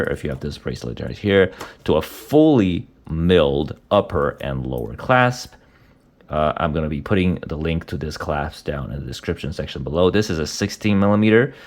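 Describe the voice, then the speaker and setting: Deep, raspy man with a cold. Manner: hesitant, many uh fillers. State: hoarse from a cold. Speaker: watch reviewer. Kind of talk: casual product review.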